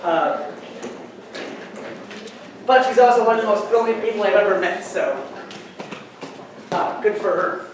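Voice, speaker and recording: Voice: mid-range voice, measured pace, pauses often, distant mic, noisy background. Chatty lecturer in an echoey hall. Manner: chatty, digressive, joking asides. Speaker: university chemistry lecturer. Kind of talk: classroom lecture.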